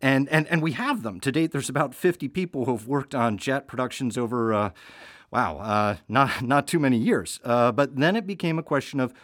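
A man co-hosting a casual podcast about audio production, talking in a wry, halting way and often correcting himself. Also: mid-range voice, fairly bright tone, dry recording, studio quality. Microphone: home recording setup.

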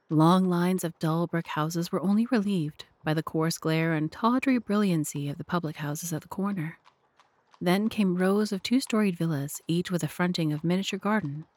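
A woman's voice: fairly low pitch, a little mellow, dry recording. A woman narrator and host reading scripted narration for an audio drama.

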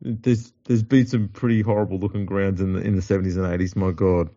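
A man's voice: low-pitched, level tone, fast talker, dark tone, dull audio. A man with an Australian accent hosting a casual sports podcast, teasing his co-host and reeling off stats. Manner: joking, teasing, reels off stats.

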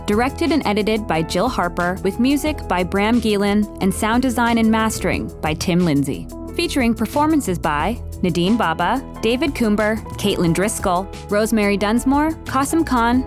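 A woman narrating a scripted audio drama in a measured, wry storytelling manner. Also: medium pitch, lightly acted. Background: music.

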